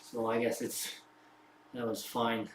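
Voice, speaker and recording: Non-native man musing slowly in an echoey room. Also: medium pitch, completely flat delivery. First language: not English. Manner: rambling, halting, self-reflective. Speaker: fitness streamer. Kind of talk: casual musing after a workout. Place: home workout room. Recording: roomy echo, distant mic, faint background hum.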